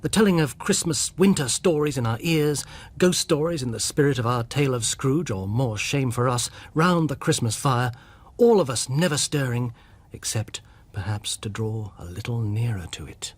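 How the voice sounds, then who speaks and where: A man's voice: medium pitch, lively intonation, normal speed, slightly rough. A man presenting scripted narration in a conversational storytelling way, speaking directly to the listener.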